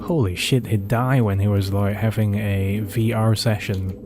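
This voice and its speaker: Lowish-voiced male streamer, chatty. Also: steady tone, unhurried. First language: Cantonese. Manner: chatty, answers live chat questions; reads documents aloud, then skips ahead with asides. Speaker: streamer playing a horror game. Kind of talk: casual gameplay commentary, reading in-game text. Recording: game music behind the voice.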